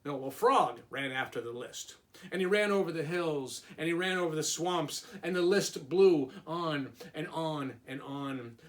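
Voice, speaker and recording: A man's voice: on the high side, a little slow. A man reading a storybook aloud to young children like a teacher, in simple phrases with small asides. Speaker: preschool and kindergarten teacher.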